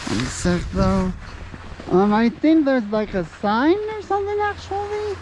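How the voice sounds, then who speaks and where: A woman vlogging as she skis down a slope, talking in halting, trailing sentences into a camera mic outdoors. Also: on the high side, big pitch swings. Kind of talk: casual commentary while skiing. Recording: loud wind on camera mic.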